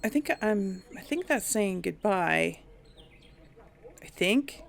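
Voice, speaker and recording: A woman's voice: mid-range voice, lively intonation, slow pace, vocal fry. A woman hosting a role-play gaming video, musing aloud in casual, chatty commentary and reading out dialogue choices. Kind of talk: casual game commentary mixed with in-character dialogue.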